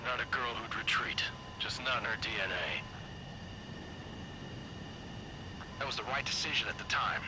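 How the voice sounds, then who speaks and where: An actor voicing a commanding veteran fighter squadron leader in a video game, giving blunt orders. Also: male voice, medium pitch, quick pace, noisy background, natural voice. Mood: somber, regretful. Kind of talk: video game military radio acting. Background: loud music, aircraft and gunfire.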